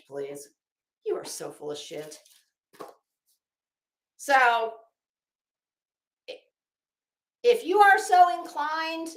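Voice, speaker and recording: A high-voiced woman delivers a casual commentary rant at a very unhurried pace. She is blunt and profane, leaning hard on words and breaking off haltingly. The sound is roomy, with clear echo around her voice. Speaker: online commentary host.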